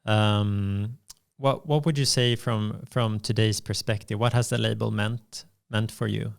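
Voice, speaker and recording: A man hosting a podcast interview, asking measured questions and giving constant soft backchannels. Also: fairly low pitch, fairly even intonation, vocal fry, smooth. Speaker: podcast host.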